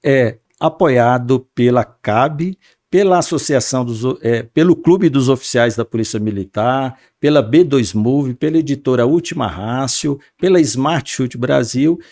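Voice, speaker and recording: A man's voice: medium pitch, a little slow, a little mellow, dry recording, clean studio sound. A man hosting an interview podcast, earnest and formal, with many fillers. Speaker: podcast host.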